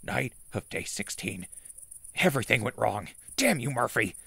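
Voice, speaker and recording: Man acting a jittery, gravelly wasteland radio host. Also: mid-range voice, sing-song, pushed and bright, no room sound, heavily acted. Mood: panicked. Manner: rambling, dramatic, with hesitant pauses. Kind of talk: audio drama acting as recorded diary.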